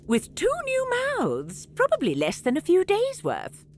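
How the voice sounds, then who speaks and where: A woman playing a feisty, sarcastic rodent-folk trader in a brisk audio drama performance. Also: high-pitched, huge pitch swings, slight background noise, heavily acted. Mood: sardonic.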